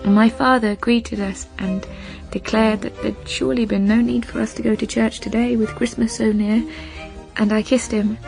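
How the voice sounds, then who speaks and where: A woman playing a wistful young bride who recalls her past in a measured, reflective radio drama monologue. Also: a little high, little pitch movement, vocal fry, over music, rough recording. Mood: quiet, remembering.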